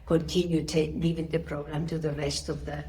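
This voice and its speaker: Lower-pitched mature woman with Spanish accent. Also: little pitch movement, fairly quick, warm tone, a little hiss or hum. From Spain. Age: middle-aged or older. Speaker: interviewee, spiritual teacher. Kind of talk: interview answers with personal storytelling.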